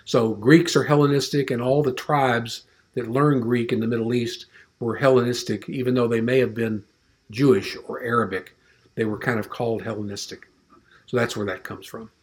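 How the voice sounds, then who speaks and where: A man's voice: mid-range voice, steady tone, moderate pace. A man teaching an informal Bible class, rambling and conversational, with frequent restarts and fillers.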